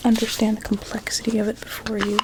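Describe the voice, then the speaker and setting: A woman playing a sweetly coaxing, sinister doctor in an ASMR roleplay, with a deliberate, soothing and formal manner. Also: medium pitch, completely flat delivery, background noise, close to an everyday voice. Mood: soft, coaxing. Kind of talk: whispered ASMR medical roleplay.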